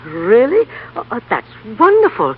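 An actor playing a meek, flustered office clerk and tinkerer, fussy and stammering, in a 1930s radio comedy play. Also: male voice, very high-pitched, wildly swooping pitch, moderate pace, exaggerated voice. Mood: shy. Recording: dull, noisy 1930s radio recording.

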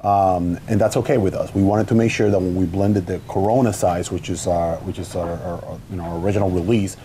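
A man in his thirties from the Dominican Republic chats on a studio cigar panel, his voice low and mellow. He talks eagerly but keeps stopping and restarting mid-sentence, caught on a lapel mic with a faint hiss under him. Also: fairly even intonation. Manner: halting, restarts often, eager. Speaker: cigar company founder, guest. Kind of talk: casual panel talk. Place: studio set with wood shelves, indoors.

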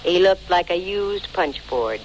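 A woman's voice: on the low side, expressive, a little slow. An actress playing a wisecracking female private eye with a dry, snappy, flirtatious manner in 1940s radio style. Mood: brisk, wry. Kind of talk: 1940s radio detective acting. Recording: noisy vintage 1940s radio recording.

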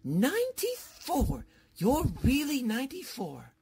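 An actor playing an eager, flattering, bumbling male professor in a theatrical old-time radio comedy style. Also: high-pitched, slow pace, vocal fry, a little hiss or hum, heavily acted. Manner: theatrical, effusive, hesitant fillers.

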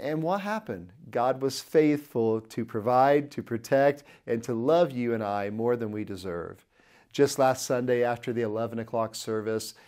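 A man in his thirties delivers a sermon straight to camera with a middle-pitched voice, earnestly telling a personal story and gesturing as he speaks. He is recorded on a lapel mic in an indoor studio. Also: darkish tone. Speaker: preacher.

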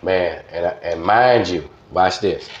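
A man's voice: deep voice, slow. A middle-aged man with a Louisiana Southern accent, casually telling stories as a radio DJ being interviewed. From Baton Rouge, Louisiana. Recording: roomy, dull lo-fi audio.

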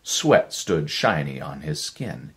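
A man in his fifties narrates a dramatic story. His voice is extremely low, and he takes his time, reading slowly and deliberately. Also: big pitch swings, gravelly, a bit bright. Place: small living room with a stove.

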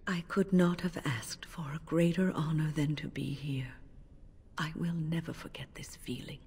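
A woman playing a devout, soft-spoken companion in a video game, hushed and reverent. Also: low voice, fairly even intonation, moderate pace, husky, exaggerated voice. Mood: awed reverence. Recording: long hall reverb.

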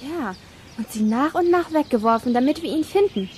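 An actress playing an eager, excitable young girl detective in a children's radio play. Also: sits high, brisk, a little hiss or hum. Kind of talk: German audio drama acting.

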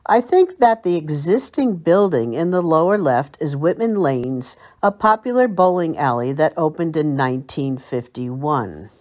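Older american lady narrating local history, kinda low voice but really animated, storytelling and reminiscing. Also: a little slow, hardly any pauses. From Long Island, United States. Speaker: library local history presenter. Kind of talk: scripted narration.

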